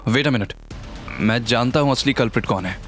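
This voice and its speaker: A man's voice: medium pitch, moderate pace, lightly acted. A man voicing a guilty, defensive male employee in an audio drama, agitated and deferential to his superiors. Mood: forceful, accusing. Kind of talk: dramatised audio series acting. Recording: music bed under the voice.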